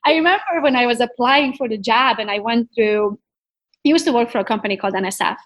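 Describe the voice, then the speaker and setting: High-voiced Romanian woman, chatty and candid. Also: quick pace. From Transylvania, Romania. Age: 30s. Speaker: podcast guest, realtor. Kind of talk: conversational personal storytelling.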